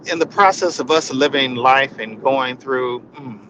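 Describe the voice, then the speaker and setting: Slow-paced man, reflective, noisy background. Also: mid-range voice. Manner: reflective, halting delivery with repeated phrases. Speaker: spiritual session leader. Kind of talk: informal inspirational talk.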